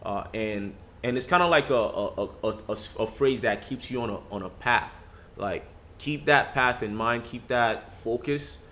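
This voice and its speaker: A man's voice: mid-range voice, moderate pace, a bit bright, a little hiss or hum. A young man talking in a casual podcast discussion, reflective and full of restarts. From Nigerian family background. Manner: reflective, with frequent restarts and "you know".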